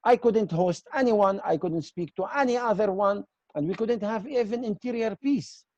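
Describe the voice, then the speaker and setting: Man, English, earnest advocacy presentation, emphatic but halting, warm dark tone, dry sound. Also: high-pitched, no echo, lo-fi sound. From Israel. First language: not English; speaks Arabic, Aramaic and Hebrew. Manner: earnest, emphatic, often addressing listeners as "my friends"; halting, non-native English with repeated word starts. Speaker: guest speaker, community association chairman and army veteran. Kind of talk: webinar presentation, advocacy talk.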